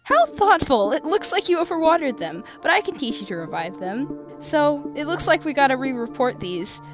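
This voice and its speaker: A woman voicing a sweet, bubbly young female crewmate in a comic dub, with a cutesy, expressive delivery. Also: sits high, normal speed, over music. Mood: cheerful. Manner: cutesy, sing-song, expressive.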